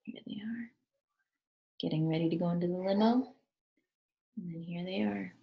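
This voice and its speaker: A woman reads a children's picture book aloud in a voice a little lower than average. Her delivery is expressive, and she breaks from the story to ask questions and point out the pictures. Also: moderate pace, vocal fry, lo-fi sound. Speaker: storybook reader, teacher.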